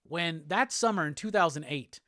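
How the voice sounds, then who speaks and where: A man from Alabama with a Southern accent, hosting a casual live show and answering chat comments in a relaxed, rambling way. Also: a little high, normal speed, dry recording, studio quality. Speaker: host.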